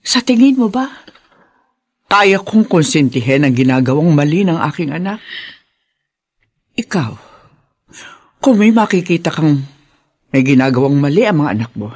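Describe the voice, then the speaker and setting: A woman's voice: low-pitched, big pitch swings, measured pace, pauses often, breathy edge, heavily acted. A Filipino woman playing a stern, wounded older mother-in-law in a radio drama, emotional and reproachful. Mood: reproachful, hurt. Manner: reproachful, emotional, sharp-tongued.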